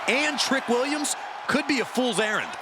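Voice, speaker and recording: A man calling wrestling in an excited play-by-play commentary style. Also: very high-pitched, normal speed. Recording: loud arena crowd noise behind. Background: roaring crowd, ring slams.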